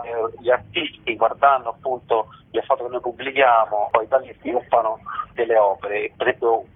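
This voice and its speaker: Husky man on a phone line. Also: medium pitch. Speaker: festival organiser, interview guest. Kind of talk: informal explanatory radio interview. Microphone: telephone. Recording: muffled, narrow-band telephone line.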